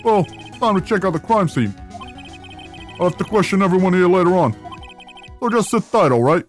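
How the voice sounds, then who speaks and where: A chummy, none-too-bright male police detective from a video game, put on by a woman. He talks in a loud, blustery way with animated pitch over faint music. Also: moderate pace, lightly acted. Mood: blustery, jovial.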